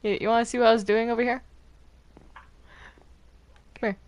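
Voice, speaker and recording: A woman's voice: a little high, steady tone, fairly quick, a little mellow, a little hiss or hum. A woman chatting casually while gaming with friends, playful and teasing, often repeating her words. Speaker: gamer in a group game session.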